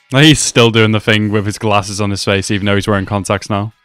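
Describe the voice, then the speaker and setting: A man's voice: on the low side, fairly even intonation, moderate pace, a bit bright, clear, resonant tone, dry recording. Young man reacting casually over music. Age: 20s. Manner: slangy, amused. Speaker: reaction video host. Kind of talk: casual live reaction commentary. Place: small indoor room. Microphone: close desk microphone.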